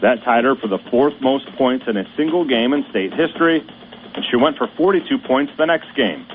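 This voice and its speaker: Man, radio sports host, scripted news reading, steady measured delivery, tinny broadcast sound, faint hiss. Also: mid-range voice.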